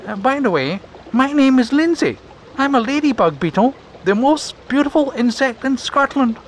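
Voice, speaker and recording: A proud little ladybug with a sarcastic, self-admiring tongue, put on by a man reading a storybook. Her voice sits high and bright above his own, and it swings up and down as she preens. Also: lively intonation, normal speed, slight background noise. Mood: haughty. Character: vain, sarcastic female ladybug beetle. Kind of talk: storybook character voice.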